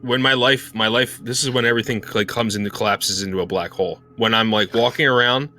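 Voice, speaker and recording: A man in his thirties co-hosting a casual gaming chat, excitable and quick to laugh. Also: medium pitch, a little hiss or hum. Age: 30s. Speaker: podcast co-host. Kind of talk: casual group chat on a live stream.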